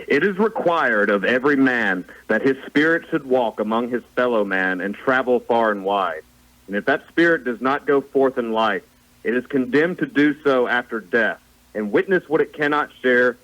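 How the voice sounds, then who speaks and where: A doom-laden male ghost, acted by a man in a radio drama. He laments and warns in a solemn, declamatory way, at a middle pitch. The broadcast sound is a little dull. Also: normal speed, a bit bright, lightly acted. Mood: stern lament. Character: mournful, doom-laden male ghost of a dead business partner. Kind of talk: theatrical radio play acting.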